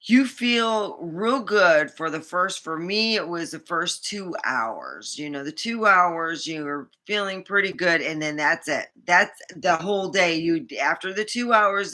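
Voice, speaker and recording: A woman's voice: fairly low pitch, sharp tone. A woman vlogger chatting casually and rambling, with many fillers and restarts.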